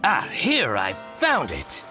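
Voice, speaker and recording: An actor playing a spiteful, scheming shop owner in a video game, with exaggerated, grumbling delivery. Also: male voice, medium pitch, huge pitch swings, slow, sharp tone, heavily acted. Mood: gleeful, spiteful. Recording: music behind the voice.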